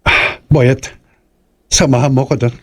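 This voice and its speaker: An actor playing a frightened young man in a horror audio drama, speaking in a husky voice. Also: medium pitch, steady tone, takes it slowly, a bit bright. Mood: uneasy.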